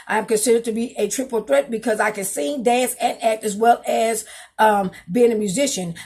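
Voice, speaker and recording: Husky-voiced woman in her fifties, rambling. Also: mid-range voice, a little mellow. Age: 50s. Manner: rambling, repeats herself, spells out words. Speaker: vlogger, singer and dancer. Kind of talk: casual talk to camera, reading from her resume. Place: small home room, indoors. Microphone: webcam on a desk.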